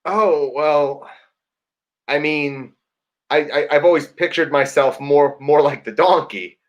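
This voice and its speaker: A man's voice: a little high, slow pace. A man playing a nerdy, hesitant man on a date in an improv comedy scene. He stammers and is pedantic about band trivia. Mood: awkward. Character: nerdy man dater, Smash Mouth fan.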